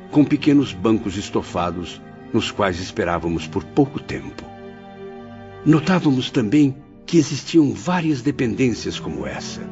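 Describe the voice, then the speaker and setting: Reflective memoir narrator male actor, formal storytelling, animated delivery; dull sound, music behind. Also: medium pitch. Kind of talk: scripted dramatic narration. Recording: dull audio under prominent music.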